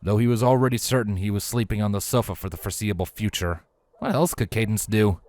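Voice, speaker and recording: A man's voice: on the low side, fast talker, a little mellow. A man reading scripted fiction aloud as a storyteller, in the style of an audiobook.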